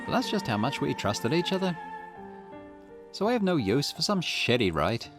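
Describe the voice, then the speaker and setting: A man's voice: fairly high pitch, big pitch swings, normal speed, over music. A man voicing a brash, loyal young woman from a video game, with a blunt, teasing manner. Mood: defiant, resolute.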